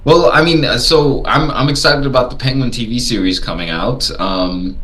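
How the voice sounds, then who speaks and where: A man's voice: moderate pace, crowd noise, rough recording. A man from Tanzania co-hosting a casual movie discussion, hesitant and repeating words as he thinks. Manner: hesitant, repeats words, lively rises in pitch. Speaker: podcast co-host.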